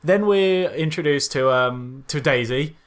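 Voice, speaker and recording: A man co-hosting a podcast, casually critiquing a film in a halting way, repeating words as he goes. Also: on the high side. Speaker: podcast co-host.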